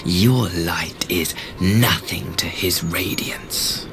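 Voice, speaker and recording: An actor playing a smug, fanatical imperial emissary, delivering breathy, theatrical taunts in a video game cutscene. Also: male voice, deep voice, extremely slow. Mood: goading, reverent. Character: smug, fanatical male imperial emissary devoted to his lord. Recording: music behind the voice.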